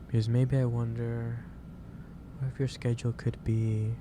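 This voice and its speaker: A man playing a gentle, caring office boss in an ASMR roleplay, speaking haltingly with repeated phrases over a noisy background. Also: medium pitch, flat delivery, natural voice. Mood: gently concerned. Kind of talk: soft-paced ASMR roleplay monologue. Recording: clearly noisy background hiss or ambience.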